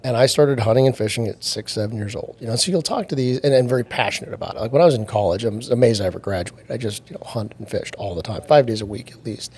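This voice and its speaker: A man's voice: mid-range voice, fast, hardly any pauses, a little mellow, husky. A man in his late forties telling stories casually as a podcast guest, acting out bits of dialogue. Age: late 40s. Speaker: podcast guest, outdoor company executive.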